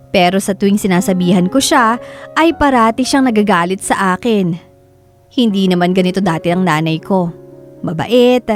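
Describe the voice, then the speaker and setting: Casting: actress as young Filipino daughter narrator, mid-pitched, sorrowful, confiding drama narration over soft music. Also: female voice, lightly acted. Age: young adult. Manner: confiding, reflective. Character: sorrowful daughter narrating her troubled family life. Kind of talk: first-person scripted drama narration.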